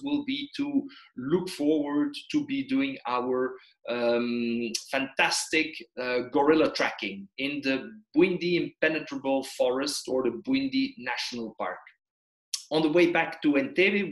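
Belgian-born tour leader in echoey room. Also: male voice, mid-range voice. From Belgium, raised in Congo. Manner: hesitant, many fillers. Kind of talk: slideshow travel presentation.

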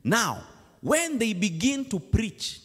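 A man's voice: sits high, big pitch swings, takes it slowly, fairly bright tone, husky. A man with a Kenyan accent preaching a sermon in a church, switching between English and Swahili slang. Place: church hall. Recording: long church reverb.

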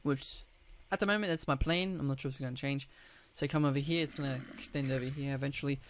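A man with a croaky, just-woken-up voice, giving casual gameplay commentary, rambling with restarts and throat clearing. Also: medium pitch, steady tone, fast talker. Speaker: gaming YouTuber.

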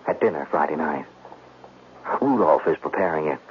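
A mannered schemer, dramatically animated. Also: male voice, extremely low pitch, normal speed. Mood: coolly calculating. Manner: mannered, articulate, evasive. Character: scheming, cultured gambler plotting murder, feigning bewildered innocence. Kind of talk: 1970s radio drama acting. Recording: muffled, noisy vintage radio recording.

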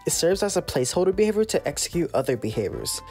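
A casual, playful host narrating a scripted software tutorial. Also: mid-range voice, big pitch swings, fast, soft background music.

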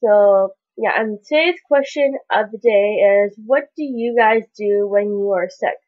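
A woman's voice: medium pitch, unhurried. A young woman vlogging from her bedroom, chatting casually with lots of "like" while sounding congested and stuffy. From Canada. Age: young adult. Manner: chatty, rambling, many "like" fillers. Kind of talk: casual chat to camera. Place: small bedroom indoors. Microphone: webcam at desk distance. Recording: dull lo-fi webcam audio.

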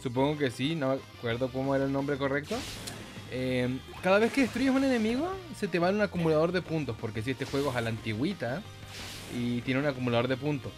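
A man's voice: medium pitch, fast talker. A man with a Chilean Spanish accent giving casual, playful gameplay commentary, joking and swearing as he goes. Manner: playful, joking, sweary, with silly sound effects and singsong. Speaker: gaming YouTuber. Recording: game music behind the voice. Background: video game music.

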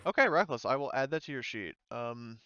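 A man's voice: mid-range voice, expressive, fairly quick, fairly bright tone, dull audio. A man speaking informally as game master, chatting through a tabletop session in a loose, hesitant way. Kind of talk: casual tabletop game chat.

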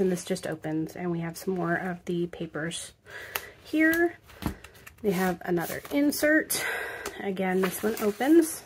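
A woman calmly narrating a walkthrough of a handmade album, explaining each step with fillers. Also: medium pitch, a little hiss or hum. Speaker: craft video creator. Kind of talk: casual craft walkthrough narration.

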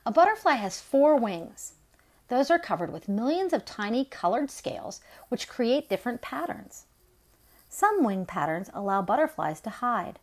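Expressive middle-aged woman reading aloud. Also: on the high side. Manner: expressive, deliberate storytime delivery. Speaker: story time host, garden educator. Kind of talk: read-aloud of a children's nonfiction picture book.

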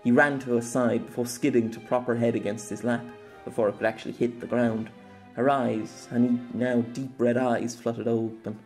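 A man narrating his own story as an audiobook, in a steady, measured voice. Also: medium pitch. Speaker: author and narrator. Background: faint music.

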